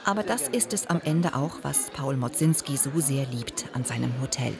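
Low-voiced woman narrating a documentary. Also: expressive, moderate pace, gravelly, crowd noise. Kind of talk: scripted voice-over narration. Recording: voice-over with music bed.

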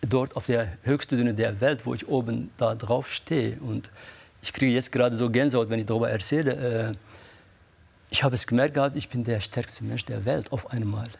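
A man, a guest athlete, excitedly recounting a hard desert run, halting often with fillers and repeated words. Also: medium pitch, vocal fry, slightly rough. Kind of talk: emotional recounting in a studio interview.

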